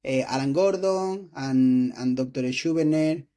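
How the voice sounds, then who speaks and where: A man in his twenties from Spain, speaking English with a Spanish accent as a non-native speaker, hosting an interview with halting restarts and frequent throat clearing. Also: mid-range voice, dark tone. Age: 20s. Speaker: host interviewing a doctor. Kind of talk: casual interview talk.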